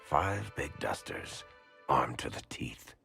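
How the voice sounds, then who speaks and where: An actor playing a wry, streetwise rogue who tells stories conversationally in a game cutscene. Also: male voice, extremely low pitch, big pitch swings, slow, creaky, sharp tone, faint music behind, heavily acted. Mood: fond, animated. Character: roguish storytelling man, wry, fond, streetwise.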